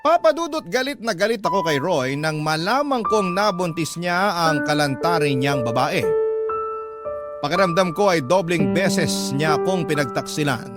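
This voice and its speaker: A male radio drama narrator with a fairly high voice reads a personal letter aloud. His delivery is dramatic storytelling, set over very loud background music. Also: expressive, moderate pace.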